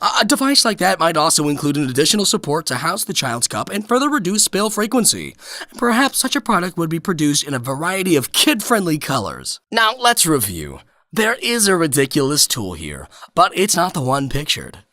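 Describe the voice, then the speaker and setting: Theatrical man with a high, animated voice. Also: brisk, dry recording. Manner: mock-formal, sarcastic, emphatic, theatrical. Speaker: performer of a dramatic reading. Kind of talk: dramatic comedic reading of an online post.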